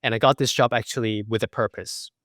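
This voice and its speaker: A man in his twenties from Macau, a podcast guest telling his story in a relaxed way with lots of fillers. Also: medium pitch, fast, dry recording, clean studio sound. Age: 20s. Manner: relaxed, many fillers like you know. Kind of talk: casual interview.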